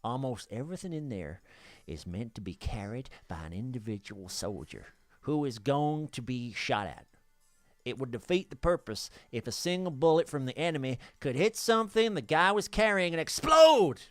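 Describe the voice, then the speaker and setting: Animated podcast reader with lively pitch, close and dry. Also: normal speed, no room sound. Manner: stumbles over the read text, jokey and sweary. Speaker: podcast host. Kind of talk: reading listener mail aloud on a fan podcast, breaking into laughter.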